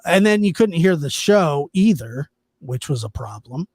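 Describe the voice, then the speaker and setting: A man's voice: fairly high pitch, very animated, a little slow, darkish tone, no room sound, clean studio sound. A man hosting a call-in show, laughing easily and restarting his sentences. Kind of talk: casual call-in chat.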